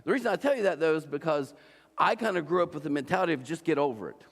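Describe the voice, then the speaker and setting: Preacher in his 40s from Ohio, slightly high voice, chatty sermon delivery with asides to the crowd, long church-hall reverb. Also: lively intonation, very fast, vocal fry. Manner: conversational, repeats and restarts, asks the crowd "right?". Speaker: pastor. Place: church auditorium.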